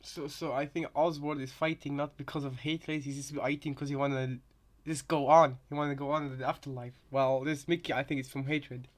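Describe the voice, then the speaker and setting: Higher-voiced man, tentative reaction chat. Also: flat delivery, fairly quick. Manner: tentative, repetitive, rambling. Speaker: co-host reacting to game voice lines. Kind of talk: casual reaction commentary.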